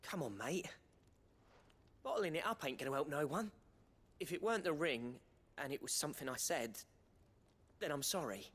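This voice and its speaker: An actor playing a friendly, plain-spoken rebel comrade, chummy and coaxing, in a video game. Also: male voice, on the high side, animated, moderate pace, long pauses, a bit bright, husky. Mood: concerned, coaxing.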